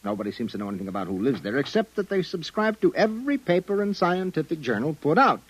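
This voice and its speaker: An actor playing a tough, streetwise private investigator in a 1950s radio drama, giving clipped orders. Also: male voice, a little high, very animated, breathy edge, poor audio. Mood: brisk. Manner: brisk, streetwise, clipped commands. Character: tough hard-boiled male private eye Broderick. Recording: old radio broadcast with music.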